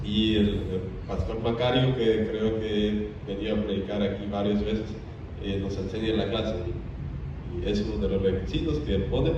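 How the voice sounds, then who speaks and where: A man from Belize, a theology student teaching a church seminar, often pausing on "eh". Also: lowish voice, steady tone, background noise. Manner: frequent "eh" hesitations. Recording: echoey church from a distant, muffled mic.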